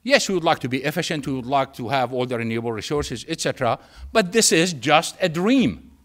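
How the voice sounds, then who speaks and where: Syrian-accented man lecturing, fairly high voice. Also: expressive, a bit bright. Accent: Syrian Arabic. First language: Arabic. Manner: emphatic, repeats phrases, pauses for effect. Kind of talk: public lecture with anecdotes and humour.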